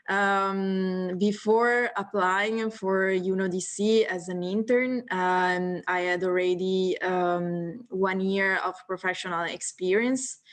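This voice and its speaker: A woman in her twenties from northeast Italy with an Italian accent, answering questions as a guest on a video call, friendly, with many fillers. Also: medium pitch. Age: 20s. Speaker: guest speaker.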